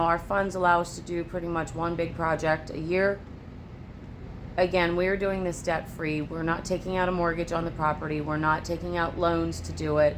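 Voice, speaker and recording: A woman with a lowish, raspy voice talks casually to her phone camera at home. She rambles in a chatty, down-to-earth way, and a faint hum of ambient noise sits under her voice. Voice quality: raspy from allergies. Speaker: homesteading vlogger. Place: at home on a rural homestead. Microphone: phone camera, later on a tripod.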